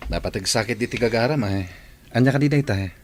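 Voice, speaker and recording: A man's voice: mid-range voice, fast talker, lightly acted. An actor playing a caring male friend in a radio drama, earnest and reassuring. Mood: concerned. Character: steady, caring male friend consoling and questioning.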